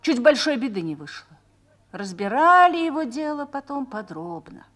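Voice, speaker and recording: A mature army wife in a radio play. Her voice is slightly high, reflective and confiding. She tells her memories with reassuring conviction. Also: very animated, moderate pace, a bit bright, full character voice. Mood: thoughtful, persuasive. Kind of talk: Russian radio drama acting.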